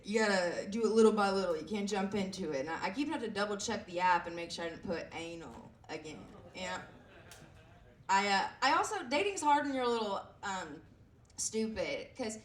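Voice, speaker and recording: Woman, twenties, raspy voice, stand-up comedy, self-deprecating, stumbling delivery, roomy echo. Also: mid-range voice, a little hiss or hum. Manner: self-deprecating, halting with frequent fillers. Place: comedy club stage. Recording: echoey room heard from a distance.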